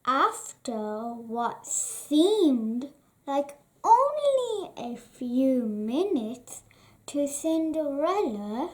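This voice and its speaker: A woman reading a children's picture book aloud as a bedtime story, in an expressive sing-song manner. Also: sits high, drawn-out words. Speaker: storybook reader.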